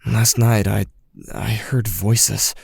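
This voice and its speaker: A man's voice: fairly low pitch, level tone, slow pace, creaky, darkish tone, husky, no room sound, studio quality, full character voice. A man playing an anxious, skeptical teenage boy with psychic powers in an audio drama, with a hesitant, wry delivery. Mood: hesitant, confiding. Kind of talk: audio drama dialogue and first-person narration.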